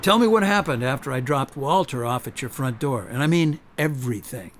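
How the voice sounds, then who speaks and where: A man's voice: mid-range voice, lively intonation, fairly quick, slight background noise, natural voice. An actor playing an earnest, articulate male attorney turned author in a radio drama. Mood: stern, insistent.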